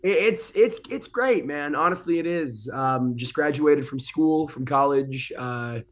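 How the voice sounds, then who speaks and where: A man's voice: fairly high pitch, a little slow. An American man in his twenties from Boston, chatting casually and informally as a guest broadcaster on an interview show. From Boston, United States. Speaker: guest, broadcaster and ring announcer.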